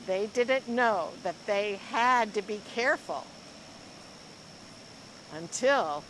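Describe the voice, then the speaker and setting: A woman reading a picture book aloud to young children, with expressive, deliberate and dramatic phrasing. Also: medium pitch, a little hiss or hum, low-quality recording. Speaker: school librarian reading story time.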